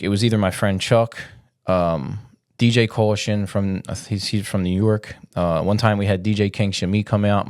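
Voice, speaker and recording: A man's voice: deep voice, darkish tone, no echo, clean studio sound. A man in his forties, stuffed up and sniffling, hosting a casual, rambling solo podcast and telling stories with lots of fillers. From Philadelphia area. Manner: rambling, loose storytelling with many fillers. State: congested, sniffling. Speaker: podcast host. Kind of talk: casual monologue.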